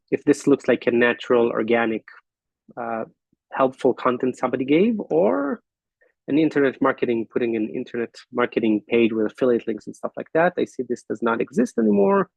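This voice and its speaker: A man hosting an online business forum, talking casually and haltingly while he walks through his shared screen on a video call. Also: medium pitch, vocal fry, a little mellow, no echo. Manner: halting, thinking aloud with fillers and restarts.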